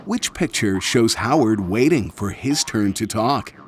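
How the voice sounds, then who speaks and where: A man's voice: fairly low pitch, expressive, measured pace, slight background noise. A man hosting a read-along quiz for children, giving instructions in short, simple phrases. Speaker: narrator and quiz host for children.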